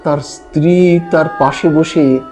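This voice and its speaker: A man narrating a ghost story as a dramatic audiobook, with measured, expressive and suspenseful delivery. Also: on the high side. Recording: dull lo-fi voice track over music. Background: background music.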